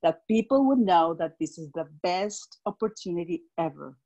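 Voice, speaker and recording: Woman, Mexican Spanish accent, middle-aged, lowish voice, enthusiastic, laughs often, video call earphone mic. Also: animated, normal speed, dark tone, highs cut off. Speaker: direct sales executive.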